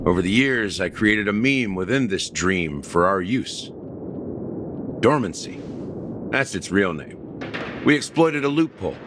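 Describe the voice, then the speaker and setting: An actor playing a cunning, smooth-talking male schemer in a game cutscene, with a sly, theatrical delivery. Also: on the low side, animated, a little slow, pauses often, background noise. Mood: grave. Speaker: scheming dreamland conspirator. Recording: studio voice over loud music.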